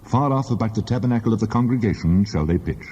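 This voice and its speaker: Man acting as God, booming in vast reverb. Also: animated, dark tone, slight background noise, close to an everyday voice. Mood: solemn, commanding. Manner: solemn, measured, commanding. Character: God, a solemn, commanding male divine voice. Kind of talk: dramatized scripture reading. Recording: long cavernous reverb, muffled sound.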